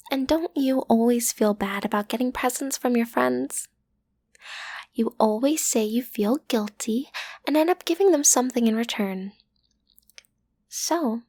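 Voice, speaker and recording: Breathy, teasing villain girl, acted by a woman. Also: on the high side, unhurried, pauses often, a little mellow, no room sound. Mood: scheming, confident. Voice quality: breathy, often dropping to a whisper. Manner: teasing, coaxing, self-assured. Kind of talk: scripted roleplay audio, intimate and breathy.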